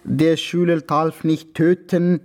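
Very slow, solemn martial arts master. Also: male voice, fairly high pitch, level tone, over loud music. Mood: solemn, stern. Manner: terse, solemn, sententious commands. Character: stern martial arts master spouting samurai proverbs. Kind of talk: radio comedy-crime drama acting.

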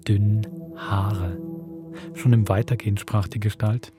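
A man with a Swiss accent hosting a podcast, reading a spooky story aloud in a measured storytelling manner. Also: lowish voice, fairly even intonation, loud music behind the voice. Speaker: podcast host.

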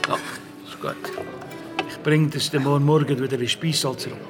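An actor playing a sly, odd pilgrim in a children's radio play, talking in lofty, sententious proverbs. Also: male voice, medium pitch, steady tone, brisk, over music, natural voice. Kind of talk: German-language children's radio play acting.

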